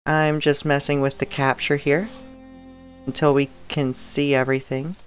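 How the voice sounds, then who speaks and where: A woman streaming a game and chatting casually to her viewers, her throat a bit dry and raspy, talking in a relaxed, rambling way with soft laughs. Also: sits low, moderate pace, soft background music. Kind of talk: casual live-stream chat.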